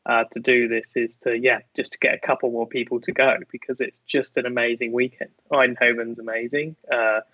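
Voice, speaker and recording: A man's voice: medium pitch, flat delivery, a little fast, hardly any pauses, pushed and bright, no echo. A man co-hosting a podcast, chatting casually and enthusiastically. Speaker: podcast co-host. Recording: dry lo-fi remote audio.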